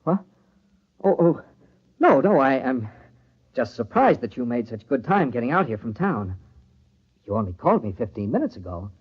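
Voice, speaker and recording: An actor playing a desperate, commanding lover covering up a crime in an old radio thriller, giving urgent, bossy orders. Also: male voice, mid-range voice, sing-song, normal speed, pauses often, breathy edge. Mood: tense, startled. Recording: dull, lo-fi old radio recording.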